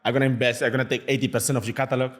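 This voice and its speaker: A young man from Mali talks fast and keeps up a lively, bouncing delivery. He speaks English as a second language, with simple phrasing. He is a musician telling stories as a podcast guest. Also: mid-range voice. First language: a Malian language. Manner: fast, animated talk in non-native English, acts out quoted people. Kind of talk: casual podcast chat.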